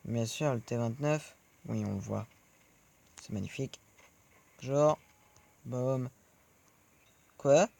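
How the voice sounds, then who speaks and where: A man's voice: mid-range voice, slow pace, creaky, pauses often, dark tone. A man giving rambling let's play commentary over his tank game, chatting to his viewers between shots. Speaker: gaming video creator.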